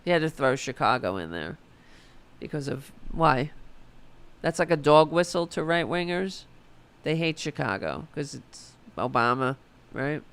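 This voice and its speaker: A woman with a raspy voice hosting a political commentary show, reading an article aloud and answering it with sarcastic, profane indignation. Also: low-pitched, big pitch swings, measured pace.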